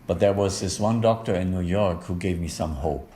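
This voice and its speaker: A man giving a first-person voice-over translation in a measured, steady telling with small hesitations. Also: sits low, a little hiss or hum. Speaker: voice-over interpreter. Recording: roomy echo.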